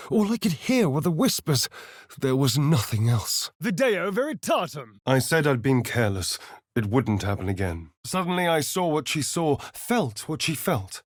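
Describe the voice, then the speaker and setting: A man's voice: dry recording, clean studio sound. A man playing a sly, sardonic vampire spawn rogue in a video game, with an arch, drawling, theatrical delivery. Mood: dramatic storytelling, then incantatory. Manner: arch, theatrical, drawling, with big swoops in pitch. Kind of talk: video game voice lines and narration.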